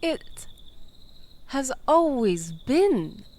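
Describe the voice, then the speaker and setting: A woman's voice: sits high, sing-song, very slow, warm tone, full character voice. A woman playing a manipulative, flirtatious toxic ex-lover in an intimate audio roleplay, coaxing and teasing with mock innocence. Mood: teasing, seductive.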